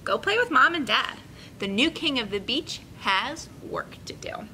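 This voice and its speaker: A woman's voice: high voice, very animated, measured pace, sharp tone, a little hiss or hum, full character voice, low-quality recording. A woman voicing a proud, determined young boy in a storybook, with a self-important, declarative manner. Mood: bossy. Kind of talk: storybook character reading.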